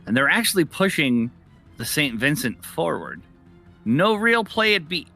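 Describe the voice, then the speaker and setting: Bright-voiced man doing esports play-by-play. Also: fairly high pitch, very animated, measured pace, vocal fry. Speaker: esports commentator. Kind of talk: live video game tournament play-by-play and analysis. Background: music.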